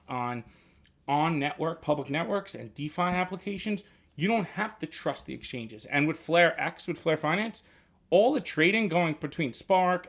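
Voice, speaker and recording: A man's voice: a little high, creaky, fairly bright tone. A man hosting a casual livestream, reading viewer questions and explaining at length. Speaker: livestream host.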